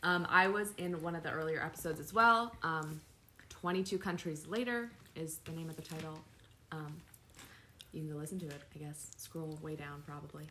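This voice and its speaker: A young adult woman, a podcast guest with a voice worn low from yelling, speaking briefly and laid-back. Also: normal speed, slight background noise.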